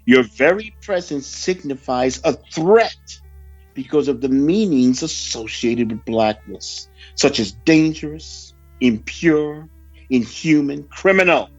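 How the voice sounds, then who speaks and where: High-voiced male preacher, slow and solemn. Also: animated. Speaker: preacher reading a prophecy report. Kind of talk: sermon mixed with read-out news report. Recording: dull lo-fi recording with background music.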